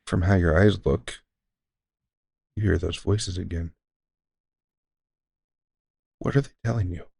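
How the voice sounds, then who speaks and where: A man playing a deranged centuries-old elf shopkeeper who is courteous, formal and unsettlingly calm, in an audio roleplay. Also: deep voice, takes it slowly, creaky, slightly rough, no echo, clean studio sound, heavily acted. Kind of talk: scripted audio roleplay addressing the listener.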